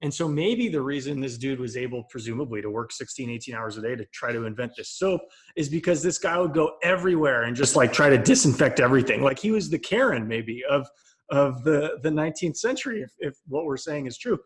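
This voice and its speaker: A man's voice: mid-range voice, lively intonation, brisk. Man musing in casual chat. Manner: thoughtful, hedging, repeats words as he builds a point. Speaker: podcast co-host.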